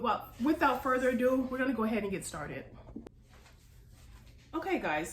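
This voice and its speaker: An American woman, chatty and informal with a congested nose, hosting a casual baking tutorial for her viewers. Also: fairly high pitch. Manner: chatty, informal, addresses viewers as "you guys". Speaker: home baker and cooking channel host. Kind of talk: casual cooking tutorial chat. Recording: echoey room with faint background noise.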